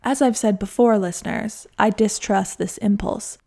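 A woman's voice: mid-range voice, fairly even intonation, moderate pace, gravelly, dark tone. A woman narrating a personal travel story for her listeners, wry and reflective, with a self-deprecating edge. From United States. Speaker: podcast narrator.

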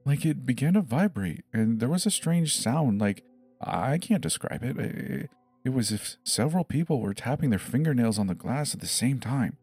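A man's voice: medium pitch, lively intonation, moderate pace, a little mellow, husky, no room sound, soft background music. A man voicing a scared seven-year-old boy in a dramatized horror story, hesitant and pleading, stammering over his words.

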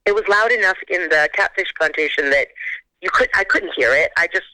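A woman guest on a phone call, telling a story in a casual, animated way and acting out her own reactions. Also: fairly low pitch, fairly quick, no room sound.

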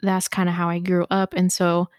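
A young woman from Ethiopia co-hosting a casual podcast, speaking haltingly with lots of fillers while she searches for words. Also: on the low side, monotone, darkish tone, no echo, studio quality. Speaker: podcast co-host. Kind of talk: casual conversation.

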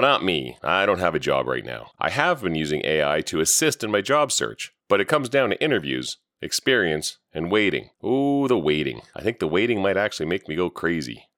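Deep-voiced man with a cold, sardonic. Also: a bit bright, no room sound, studio quality. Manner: sardonic, ranting commentary. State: recovering from a cold. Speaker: podcast host. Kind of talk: solo podcast monologue.

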